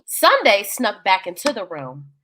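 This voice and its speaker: A woman reading a children's bedtime story in an expressive, dramatic, folksy way. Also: medium pitch, measured pace, slight background noise.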